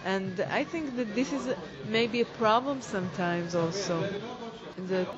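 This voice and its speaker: A woman, a dance teacher and choreographer, answering interview questions in halting English as she searches for words. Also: medium pitch, lo-fi sound, a crowd in the background. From Israel.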